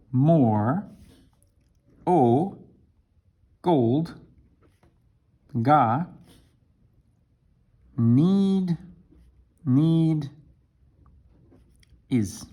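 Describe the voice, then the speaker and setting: A man teaching an English lesson to camera, talking slowly and deliberately and checking in with "right?". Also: medium pitch, lively intonation, mellow. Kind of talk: explaining a language lesson to camera.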